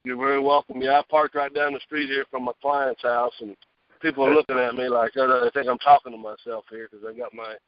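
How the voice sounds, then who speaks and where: A man giving an emphatic, conversational motivational sales talk over a phone earpiece, often checking in with a quick question. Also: mid-range voice, steady tone, no room sound. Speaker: guest speaker, sales director. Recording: dull lo-fi phone earpiece call.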